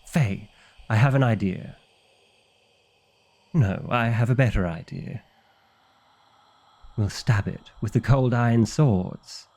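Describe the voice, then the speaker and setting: A man's voice: medium pitch, slow pace, pauses often, darkish tone. A man playing a fussy, exasperated vampire librarian in an ASMR roleplay, with an indignant, officious and dryly comic delivery. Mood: panicked, indignant.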